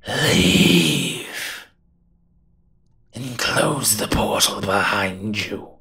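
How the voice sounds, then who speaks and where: A man's voice: lowish voice, expressive, very slow, pushed and bright, full character voice. A man playing a cocky, menacing monster detective who protects a child in a roleplay audio drama, with taunting threats that drop into breathiness. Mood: threatening.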